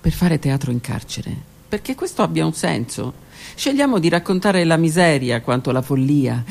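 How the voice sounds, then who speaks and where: A middle-aged woman, a stage actress, performing a dramatic monologue that swings from hushed whispers to raised declaiming. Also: sits low, gravelly. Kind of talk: theatrical monologue. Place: radio studio.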